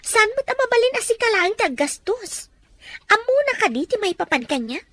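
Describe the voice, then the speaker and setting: A woman's voice: very high-pitched, sing-song, moderate pace, pushed and bright, no echo, heavily acted. A woman playing a demanding, suspicious girlfriend in an audio drama. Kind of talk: Ilocano audio drama acting.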